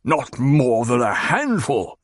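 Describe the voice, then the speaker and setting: A man's voice: mid-range voice, big pitch swings, normal speed, breathy edge, no room sound, clean studio sound. A man voicing a fat, petulant, demanding baron who blusters and growls. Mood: blustering.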